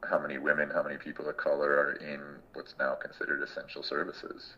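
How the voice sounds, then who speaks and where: A man in his thirties, a guest in a casual interview, speaking in a thoughtful, reflective way and pausing often mid-sentence. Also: rumbling low, monotone, muffled recording. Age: 30s. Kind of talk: casual conversation.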